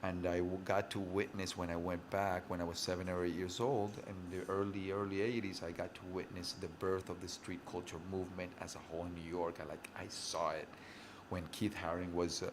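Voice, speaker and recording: Low-voiced man telling long stories. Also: flat delivery, a little fast, noisy background. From Venezuela. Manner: talks in long run-on stories with many restarts. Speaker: interview guest, DJ and rapper. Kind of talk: rambling personal storytelling.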